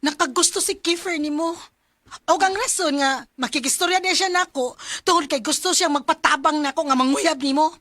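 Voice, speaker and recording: A woman playing an excitable, lovestruck young student in a radio drama, dramatic and emphatic, with a Cebuano accent. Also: high voice, moderate pace, no echo. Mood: sulky, resentful.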